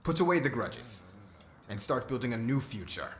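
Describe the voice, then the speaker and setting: A man playing a solemn, diplomatic king in an ASMR roleplay, speaking in a formal, measured, oratorical way. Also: medium pitch, very animated, fairly bright tone, slight background noise. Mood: impassioned. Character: solemn diplomatic young king addressing subjects and friend.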